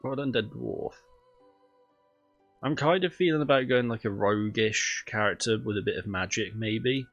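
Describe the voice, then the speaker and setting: A man's voice: lowish voice, moderate pace, a bit bright, muffled recording, faint music behind. A man with a cold and a stuffy, nasal voice, chatting casually through a video game while streaming, thinking aloud with lots of ums.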